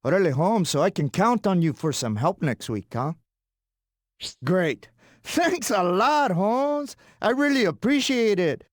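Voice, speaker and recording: Cocky, high-voiced wrestler. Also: male voice, lively intonation, unhurried, no room sound, clean studio sound. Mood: sly, friendly. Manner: swaggering, slangy. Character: cocky, sly, mocking male wrestler. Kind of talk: video game cutscene acting.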